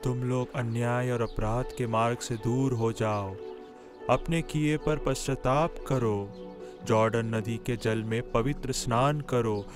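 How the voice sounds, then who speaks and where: A man voices a solemn cartoon prophet exhorting his listeners. The delivery is slow and measured, mid-pitched, with loud music underneath. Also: natural voice. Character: solemn prophet preaching repentance to crowds. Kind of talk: cartoon Bible story preaching.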